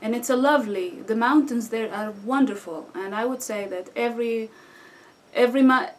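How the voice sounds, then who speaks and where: Syrian woman, 30s, stops and restarts a lot in english, mic kinda far off. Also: on the high side. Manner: halting non-native English with restarts and fillers. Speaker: guest. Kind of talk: conversational interview answers. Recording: distant mic with faint background noise.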